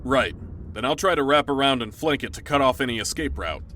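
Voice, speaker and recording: An actor playing a gruff, blunt middle-aged dad who catches creatures, in a naturalistic audio drama with some swearing. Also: male voice, medium pitch, lively intonation, a little fast, pushed and bright, a little hiss or hum. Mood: businesslike.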